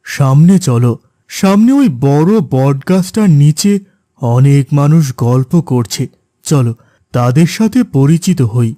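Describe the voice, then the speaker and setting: A man voicing a gentle, patient father in a cartoon, speaking in a reassuring way. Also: medium pitch, animated, measured pace, no room sound, studio quality, natural voice. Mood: warm, encouraging. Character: gentle, reassuring middle-aged village-born father. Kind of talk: cartoon character dialogue.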